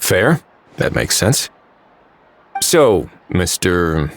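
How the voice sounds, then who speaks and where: An actor playing a shrewd, cool-headed official in a video game, speaking with measured, dryly polite authority. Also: male voice, low-pitched, sing-song, slight background noise, exaggerated voice. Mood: probing. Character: cool, shrewd male official interrogating a suspect.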